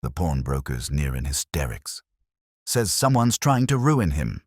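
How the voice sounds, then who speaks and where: Man as police sergeant in a mystery tale: bristling, urgent, dutiful, animated delivery. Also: very deep voice, unhurried, slightly rough, no room sound, studio quality, exaggerated voice. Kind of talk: dialogue in a narrated mystery story.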